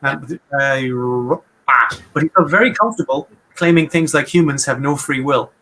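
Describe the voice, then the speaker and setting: A man with an Irish Munster accent, talking through a topic on a casual podcast, quick and wry. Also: medium pitch, pushed and bright, poor audio. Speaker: podcast host. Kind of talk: casual explanatory chat.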